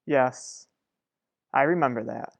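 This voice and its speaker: A man playing a reserved, gravely concerned confidant and old flame in a radio soap opera, speaking in a measured way. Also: medium pitch. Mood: guarded, wounded.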